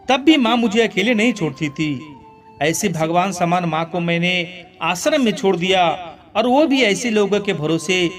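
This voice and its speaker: A man voicing a guilt-stricken middle-aged judge in a moral story, solemn, reproachful and emotional. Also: high-pitched.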